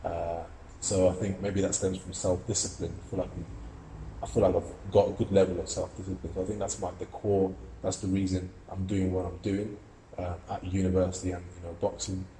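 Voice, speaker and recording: A man's voice: low voice, flat delivery, a little fast, mellow, reverberant, a little hiss or hum, low-quality recording. A young man from Russia, an amateur boxer and student, giving earnest, reflective interview answers with many fillers and restarts. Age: young adult.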